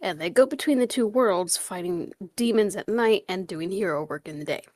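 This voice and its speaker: A woman's voice: medium pitch, moderate pace, no echo. A woman co-hosting a casual podcast, talking in a chatty, blunt way. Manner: chatty, blunt, fan-culture talk. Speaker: podcast co-host.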